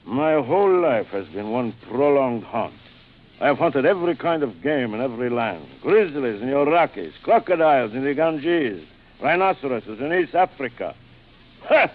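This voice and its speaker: An actor playing a suave, proud general and big-game hunter, speaking in a courtly, theatrical way in a vintage radio drama. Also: male voice, mid-range voice, big pitch swings, normal speed, lightly acted. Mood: proud, boastful. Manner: courtly, formal, theatrical, savouring his words. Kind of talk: 1940s radio acting. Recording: muffled, noisy vintage radio recording.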